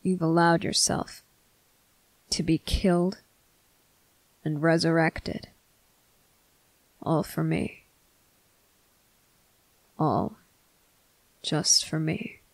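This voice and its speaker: A performer voicing a stern but tender male vampire captain in an intimate ASMR roleplay, speaking deliberately in clipped phrases with pointed pauses. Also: mid-range voice, little pitch movement, no echo, rough recording. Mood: stern, reassuring. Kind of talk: ASMR roleplay addressed to the listener.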